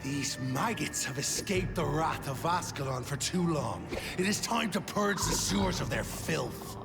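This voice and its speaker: A man voicing a ferocious, contemptuous vampire in a video game, gravelly, with a grandiose, menacing manner. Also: fairly high pitch, expressive, measured pace, over loud music. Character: ferocious, contemptuous male vampire hunting in sewers.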